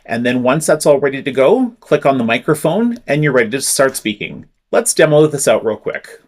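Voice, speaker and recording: A man presenting a step-by-step software tutorial, explaining each click in a clear, instructive way. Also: mid-range voice, sing-song, moderate pace. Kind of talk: screen-recorded software tutorial.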